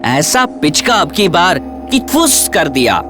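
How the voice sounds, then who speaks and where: A man's voice: a little high, big pitch swings, a little slow. A man playing a squashed talking biscuit carton in a training film, grumbling with indignation. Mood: indignant. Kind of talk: acted dialogue in a training film. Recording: music behind the voice.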